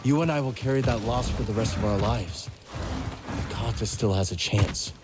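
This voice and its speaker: An actor playing an earnest, troubled young warrior in a video game, speaking in a subdued, serious way. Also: male voice, on the low side, normal speed, heavy background noise, lightly acted. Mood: somber, earnest. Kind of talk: cinematic video game acting. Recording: music behind the voice.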